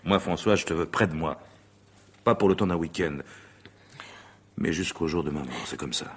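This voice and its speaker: A man's voice: deep voice, normal speed, breathy edge. A man playing an assertive, possessive lover in a French radio mystery drama, declamatory and commanding. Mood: possessive, insistent. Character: assertive, possessive, theatrical male lover, self-styled conqueror.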